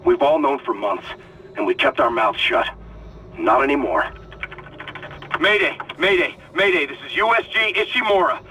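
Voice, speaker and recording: An actor playing a defiant, desperate ship communications officer recording an indignant log in a video game. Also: male voice, on the high side, lively intonation, moderate pace, long pauses, bright, forceful tone, a little hiss or hum, poor audio. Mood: desperate, outraged. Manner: intense, indignant.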